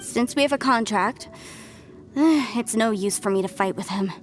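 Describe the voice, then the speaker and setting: Actress as a dedicated young scholar; high, sincere voice, light music under. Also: female voice, measured pace, natural voice. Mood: earnest. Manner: earnest, principled. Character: earnest young female archaeologist. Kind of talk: video game voice acting. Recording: game audio with faint music.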